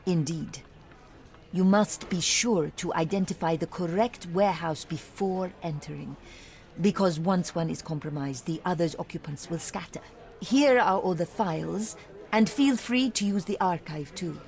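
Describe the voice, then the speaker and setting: A woman's voice: on the low side, normal speed, a little mellow, lightly acted. An actress voicing a playful, sly young woman journalist in a detective game, teasing and theatrical. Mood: businesslike.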